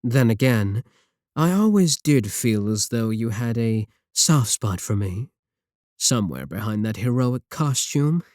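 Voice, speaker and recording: A man's voice: medium pitch, animated, measured pace, darkish tone, dry recording, natural voice. A man playing an arrogant, mocking villain scientist in an ASMR roleplay, talking close to the mic in a sarcastic, condescending way. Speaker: voice actor in audio roleplay.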